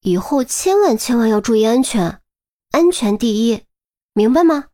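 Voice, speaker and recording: A woman narrator acts out a brisk young policewoman from an audiobook. Her voice is high and swings widely in pitch. She fires questions and scolds, protective of her friend. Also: sing-song, darkish tone. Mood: earnest, concerned. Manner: pressing, rapid-fire questioning. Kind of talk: audiobook character dialogue.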